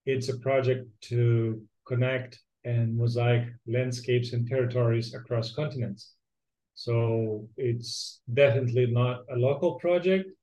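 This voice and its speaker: A man's voice: mid-range voice, mellow, rough recording. A man from Brazil with a Brazilian accent, a native Portuguese speaker, answering conversationally as an interview guest. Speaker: podcast guest, philosopher of ecology.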